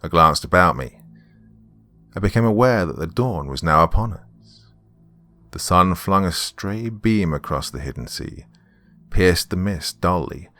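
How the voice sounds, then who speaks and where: Deep-voiced British male horror narrator. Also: fairly bright tone. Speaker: horror podcast host and narrator. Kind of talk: dramatic scripted narration and sponsor reads.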